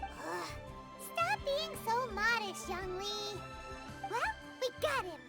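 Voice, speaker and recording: A woman's voice: very high voice, slow pace, heavily acted. A woman voicing a tiny, cheeky fairy-like companion who talks about herself in the third person and pushes everyone around. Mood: pushy. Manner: refers to herself in third person, pushy and excitable. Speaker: adventure companion. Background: game music.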